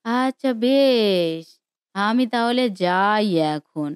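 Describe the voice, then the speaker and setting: A woman's voice: fairly high pitch, expressive, very slow, clean tone, dry recording, clean studio sound. A woman voicing a fussy, insistent old granny with folksy speech in a cartoon story. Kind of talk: Bengali cartoon story voice acting.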